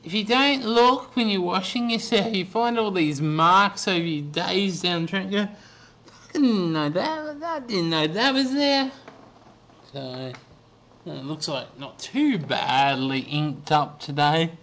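A man in his twenties talks slowly into his webcam from a small room, worn out and going hoarse. He wanders through his story with plenty of ums and false starts, as if just chatting to friends. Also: high voice, a bit bright. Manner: rambling, slow, many ums and restarts. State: losing his voice, tired. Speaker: vlogger. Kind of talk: casual video diary. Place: small bedroom indoors. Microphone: webcam at desk.

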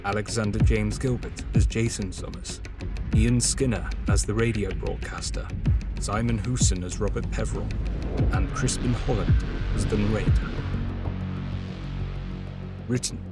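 A man's voice: fairly low pitch, fairly even intonation, a little slow, long pauses. A man reading out scripted cast credits as an announcer. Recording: loud music under voice.

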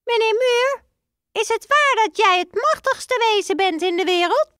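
Woman doing a squeaky father mouse. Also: lively intonation, unhurried, a bit bright, dry recording, clean studio sound, heavily acted. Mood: earnest. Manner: squeaks "piep" between phrases.

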